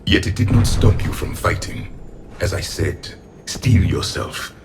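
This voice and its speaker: A man's voice: low-pitched, lively intonation, a little slow, noisy background. A man playing a stern, fatalistic ancient alien warrior in a sci-fi game, solemn and severe, lecturing as he speaks. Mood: cold, stern. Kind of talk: cinematic sci-fi game dialogue. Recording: dramatic music behind the voice.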